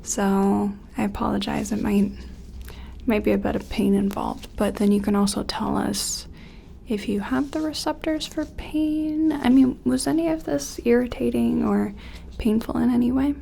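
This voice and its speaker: A woman playing a gentle, curious scientist in an ASMR roleplay, speaking softly and tentatively in a breathy, often whispery, soothing voice. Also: a little high, slight background noise. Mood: apologetic, reassuring. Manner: soothing, tentative, apologetic, hesitant pauses. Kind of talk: soft-spoken ASMR roleplay.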